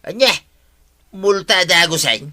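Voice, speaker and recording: A man's voice: sits high, expressive, measured pace, sharp tone, exaggerated voice. A man with an Ilocano accent acting a brash, chummy villager in a comedy radio drama. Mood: indignant.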